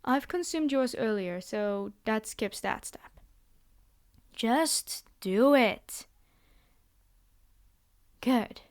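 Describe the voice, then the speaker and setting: Vampire captor female performer, high-pitched, bossy yet coaxing and condescending, scripted ASMR roleplay addressed to the listener. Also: expressive, extremely slow, pauses often. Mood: commanding, coaxing, then brisk, softened. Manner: bargaining, condescending, reassuring, speaking directly to the listener. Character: commanding vampire girl, teasing, coaxing captor of a human pet.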